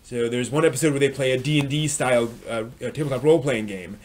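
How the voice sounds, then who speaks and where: A man hosting a live stream review, talking unscripted in a halting way, often repeating and rephrasing himself. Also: medium pitch, darkish tone. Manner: frequent throat clearing.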